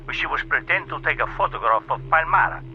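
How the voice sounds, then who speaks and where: An actor playing a menacing, cold-blooded male villain in a radio drama, speaking in a sinister, deliberate way over a radio link. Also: a little high, expressive. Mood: alarmed. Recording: muffled, noisy radio-link sound.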